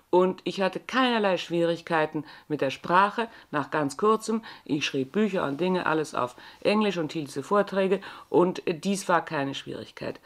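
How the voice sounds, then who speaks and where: Deliberate low-voiced woman from Bavaria. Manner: deliberate, with frequent "äh" and "nicht wahr". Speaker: interview guest. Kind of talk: thoughtful conversational talk.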